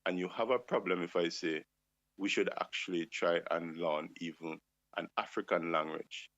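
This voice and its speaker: A man's voice: deep voice, fairly even intonation, moderate pace, fairly bright tone, dry recording. A man on a talk show, speaking with passion and firing off rhetorical questions. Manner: impassioned, asks rhetorical questions. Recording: dull lo-fi dry sound.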